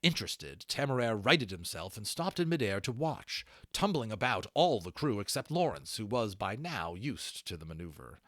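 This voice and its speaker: A man narrates a storybook with lively, swinging intonation. His delivery is expressive, and the sound is dry and close. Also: lowish voice, moderate pace, hardly any pauses, no echo. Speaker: audiobook narrator. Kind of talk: expressive storybook narration with brief asides to listeners.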